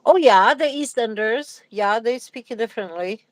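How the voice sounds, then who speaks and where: A woman's voice: fairly high pitch, moderate pace, no echo. A middle-aged woman with a Polish accent, chatting casually on a livestream, hesitating often and reading viewer comments aloud. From Poland. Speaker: livestream co-host. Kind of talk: casual live chat.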